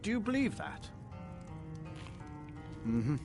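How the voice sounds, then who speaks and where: A man's voice: a little high, big pitch swings, slow pace, creaky, over music, full character voice. An actor playing a plain-spoken, skeptical, grumbling townsman in a fantasy game. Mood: confiding.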